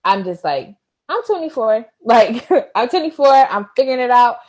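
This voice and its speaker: Casting: twenties woman guest, easygoing, laughs often, muses out loud. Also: on the high side, animated, normal speed. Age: 20s. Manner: laid-back, laughs often, thinks out loud. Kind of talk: casual chat.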